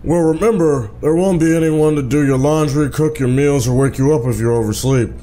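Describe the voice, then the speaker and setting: A man's voice: on the high side, fairly quick, dark tone, voice pushed up, close to an everyday voice. Man acting a stern, curt father. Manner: curt, lecturing. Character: stern, impatient middle-aged father.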